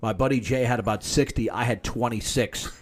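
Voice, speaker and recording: A man's voice: medium pitch, brisk. A man in his fifties, a podcast guest, telling long drinking anecdotes in casual chat. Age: 50s. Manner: long-winded anecdotes, talking over others.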